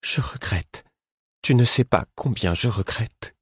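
A man's voice: on the low side, unhurried, breathy edge, no room sound, studio quality, voice pushed up. A man voicing a distraught male royal fool in an audiobook, speaking in a pleading, anguished way.

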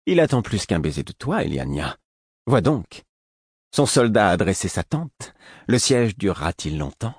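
A man's voice: on the low side, big pitch swings, normal speed, a little mellow, no echo, studio quality, pitched above the performer's own voice. A man voicing a brash young woman, a malicious rival with a sly, taunting manner, in an audiobook story. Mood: malicious, triumphant.

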